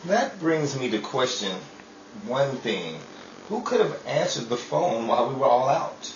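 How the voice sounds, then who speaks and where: An actor playing a mild, chatty husband in an old-time radio comedy set in 1930s Hollywood. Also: male voice, medium pitch, sing-song, unhurried, noisy background. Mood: mild, curious. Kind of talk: old-time radio play acting before an audience. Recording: dull, echoey live-stage recording from far off.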